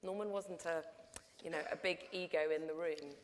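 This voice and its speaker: A woman's voice: sits low, steady tone, normal speed, slight background noise. A middle-aged woman telling a story on a panel, chatty and full of restarts. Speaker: panel guest, documentary producer. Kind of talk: panel discussion anecdote. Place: lecture hall before an audience. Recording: long hall reverb.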